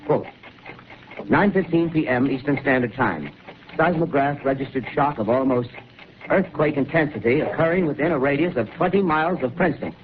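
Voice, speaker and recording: An actor playing an earnest male radio reporter in a 1930s radio drama, speaking in a slightly halting newsman manner. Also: mid-range voice, natural voice. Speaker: field commentator. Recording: noisy tinny 1930s radio broadcast.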